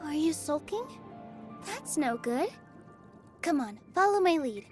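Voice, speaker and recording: A woman voicing a gentle, sunny young dancer in a video game, earnest and encouraging, with a sweet, coaxing manner. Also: high voice, big pitch swings, a little slow, faint music behind. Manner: sweet, soft-hearted, bubbly, coaxing. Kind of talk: scripted video game character lines.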